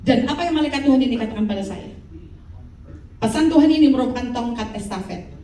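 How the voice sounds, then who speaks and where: High-voiced woman preaching in an echoey hall. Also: fast, slight background noise. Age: 50s. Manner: lively preaching with call-and-response. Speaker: pastor. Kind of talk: sermon. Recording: echoey hall, mic from far off.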